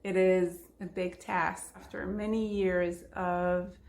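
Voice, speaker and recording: Low-voiced Israeli woman teaching, unhurried. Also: flat delivery, gravelly, a little mellow, roomy sound. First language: Hebrew. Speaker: language teacher. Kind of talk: instructional talk to camera.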